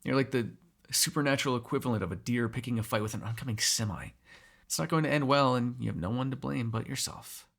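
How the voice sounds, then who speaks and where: A man voicing a teasing, sarcastic vampire with a gravelly, husky voice in an ASMR roleplay. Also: mid-range voice, fast talker, a bit bright. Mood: quiet, musing. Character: snarky, teasing male vampire captive, predatory yet fond. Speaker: voice actor in audio roleplay.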